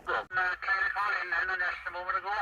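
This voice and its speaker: A man's voice: high-pitched, little pitch movement, fast talker. A man chatting politely over CB radio, hesitant and drily understated. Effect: heard over CB radio. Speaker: CB radio operator. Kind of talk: casual two-way radio chat. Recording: muffled CB radio, loud static and noise.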